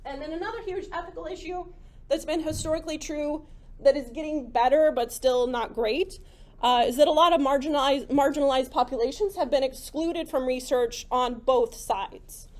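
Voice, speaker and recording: A woman lecturing a class in a loose, chatty way, often checking in with the room. Her voice sits high and is going a little hoarse. She sounds like she is in her thirties. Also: moderate pace, darkish tone. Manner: conversational, many "like" and "right?" fillers. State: slightly hoarse, losing her voice. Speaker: psychology lecturer.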